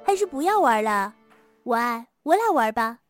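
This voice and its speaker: Woman acting very high, chirpy schoolgirl. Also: sing-song, normal speed, soft background music. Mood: playful, coaxing. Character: chirpy, friendly young schoolgirl classmate. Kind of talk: Chinese audio drama acting.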